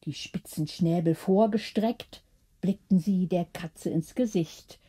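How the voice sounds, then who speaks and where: Slow, mellow woman narrating a story. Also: fairly low pitch, breathy edge. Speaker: audiobook narrator. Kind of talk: scripted bedtime story narration.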